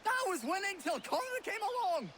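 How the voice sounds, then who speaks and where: An actor playing a hot-headed but good-natured colonial villager in a video game. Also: male voice, very high-pitched, sing-song, moderate pace, heavy background noise. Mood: indignant. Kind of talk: video game cutscene acting.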